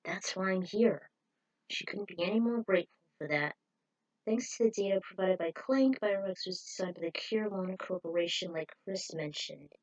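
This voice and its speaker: A woman's voice: on the low side, normal speed, darkish tone, no room sound, lo-fi sound. A woman reading a fan fiction story aloud in an informal way, breaking off for her own opinionated asides.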